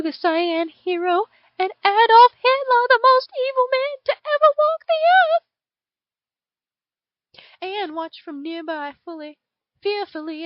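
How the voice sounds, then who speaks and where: Southern belle dragon played by a woman, extremely high voice, theatrical storybook narrator, dull lo-fi sound. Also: no room sound. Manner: theatrical, drawn-out emphasis. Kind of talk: dramatic fan fiction reading. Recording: dull lo-fi home recording.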